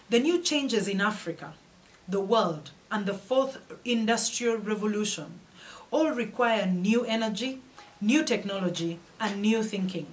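A young Ugandan woman with a Ugandan accent, delivering a prepared campaign speech in a measured, formal, declamatory manner, recorded indoors on a fixed camera. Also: medium pitch. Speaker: political candidate. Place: indoors, in front of flags. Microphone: fixed camera, head and shoulders.

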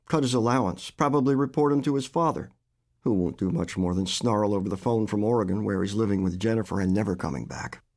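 A man in his seventies, an author reading his own short story aloud in a measured, expressive way. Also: fairly low pitch. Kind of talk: literary fiction reading.

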